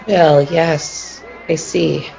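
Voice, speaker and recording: Woman acting a scheming low-voiced circus villainess. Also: fairly even intonation, gravelly, mellow, a faint crowd, exaggerated voice. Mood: nervous, evasive. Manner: halting, stammering, repeating words. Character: scheming, nervous murderous circus tiger-tamer woman. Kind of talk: radio play acting. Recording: muffled, lo-fi audio with noise.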